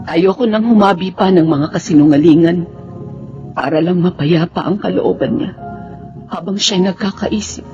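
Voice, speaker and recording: A man's voice: high voice, moderate pace, lightly acted. A man playing a solemn, forgiving family member in a Tagalog radio drama. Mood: solemn, grateful. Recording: muffled lo-fi with faint music.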